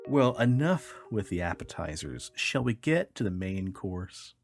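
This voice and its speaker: A man's voice: low-pitched, big pitch swings, a little slow, creaky, soft background music. A man hosting a podcast, reading articles aloud and chatting in an informal, colloquial way. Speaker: podcast host.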